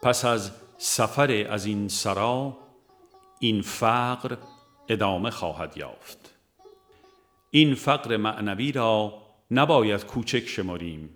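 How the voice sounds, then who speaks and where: A man reading a religious book aloud as scripted narration, in a measured, formal and solemn manner. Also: on the low side, a bit bright. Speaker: audiobook reader.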